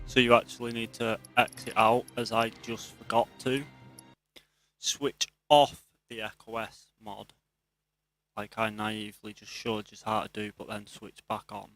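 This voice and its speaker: A man's voice: mid-range voice, little pitch movement, unhurried, a bit bright, soft background music. A man giving casual let's play commentary as a video host, explaining things step by step to viewers. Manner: explanatory, talking viewers through steps.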